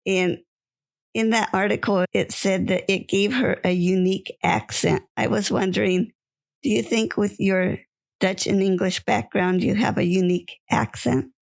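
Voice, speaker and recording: A woman hosting a quilting podcast, speaking in a gentle, measured way as she interviews a guest. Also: mid-range voice, steady tone, gravelly. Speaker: podcast host.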